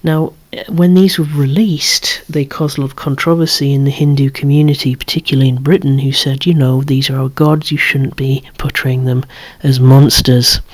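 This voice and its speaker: Casting: hushed, breathy ASMR whisperer, a chatty collector showing off toys with wry, rambling asides. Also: medium pitch, fairly even intonation, moderate pace, hardly any pauses. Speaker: toy collector presenting own collection. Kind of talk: whispered ASMR show-and-tell of a toy collection.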